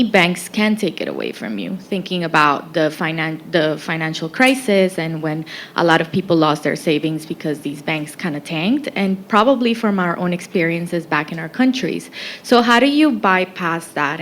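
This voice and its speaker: A woman's voice: lowish voice, a little hiss or hum. A woman in the audience asking the panel a long, thoughtful question in a polite tone. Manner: polite, thoughtful, a few fillers. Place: conference room, in the audience.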